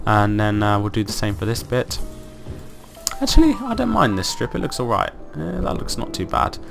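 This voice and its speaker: Croaky, upbeat man narrating gameplay. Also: on the low side, lively intonation, normal speed, music in the background. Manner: upbeat, rambling, thinks aloud with fillers. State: croaky from illness. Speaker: let's play host. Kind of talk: casual gameplay commentary.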